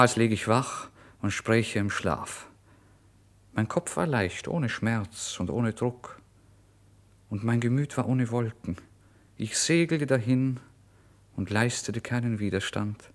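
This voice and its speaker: A man playing a starving, proud young writer in a literary radio drama monologue, theatrical and volatile, swinging between hushed and exclaiming delivery. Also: mid-range voice, close to an everyday voice. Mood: dreamy, drifting. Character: starving, feverish, proud young male writer, giddy and erratic.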